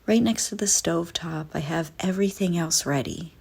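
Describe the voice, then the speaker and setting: A woman narrating a scripted guided visualization in a soft, soothing, deliberate way with frequent pauses. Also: lowish voice, gravelly, breathy edge.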